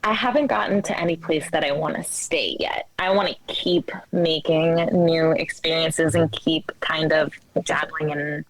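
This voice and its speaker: Lower-pitched young woman, candid and halting. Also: fairly even intonation, over a noisy crowd, low-quality recording. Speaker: interview guest, coffee shop manager. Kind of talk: casual conversational interview talk.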